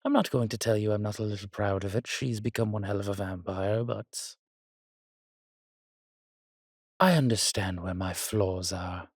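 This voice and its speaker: A man's voice: on the low side, moderate pace, no room sound, clean studio sound. A man playing a suave, weary vampire with courtly, fond phrasing in an intimate roleplay audio drama. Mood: rueful. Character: weary, suave male vampire, protective and darkly charming.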